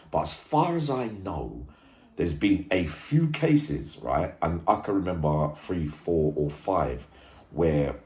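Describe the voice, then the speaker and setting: A man giving informal commentary to viewers, halting and repetitive, stressing points and checking in with tags. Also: a bass voice, darkish tone. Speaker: true crime video host and commentator. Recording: echoey room sound.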